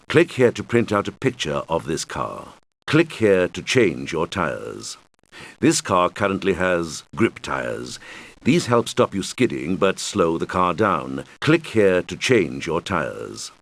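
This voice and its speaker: A suave, showy male island boss from a racing video game. His voice sits low and moves up and down with lively, animated intonation. He guides the player in a deliberate, theatrical way, like a scripted tutorial host. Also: full character voice.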